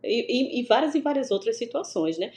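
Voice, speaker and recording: A Brazilian woman from the Northeast telling her story as an interview guest over a video call, in a lively, stop-start way with many restarts. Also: fairly high pitch, fairly quick, slight background noise. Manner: animated, many restarts and stammers. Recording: echoey room with faint ambience.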